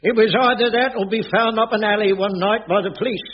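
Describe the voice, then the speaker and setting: An actor playing an anxious, boastful older caretaker in a radio sitcom, flustered and stammering. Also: male voice, high-pitched, steady tone, fast, noisy background, natural voice. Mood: pleading. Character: anxious, boastful elderly cockney caretaker, cowardly. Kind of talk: radio sitcom acting before an audience. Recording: muffled radio recording with studio audience.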